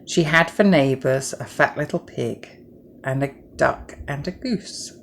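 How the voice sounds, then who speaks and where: A middle-aged woman narrating a children's story from a script in a measured, gentle way. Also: a bass voice, vocal fry, slight background noise.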